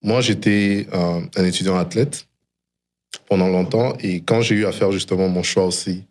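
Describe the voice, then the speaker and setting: A man's voice: low voice, fairly even intonation, normal speed, a little mellow. A middle-aged man from DR Congo and Canada speaks as an interview guest, telling a personal story reflectively and mixing English phrases into his French. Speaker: interview guest, tech founder.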